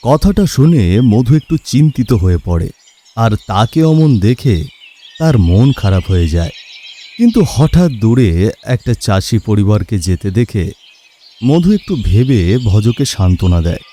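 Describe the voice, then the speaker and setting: Lively man narrating a storybook. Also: fairly low pitch, normal speed, a little hiss or hum. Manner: expressive storyteller. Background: background music.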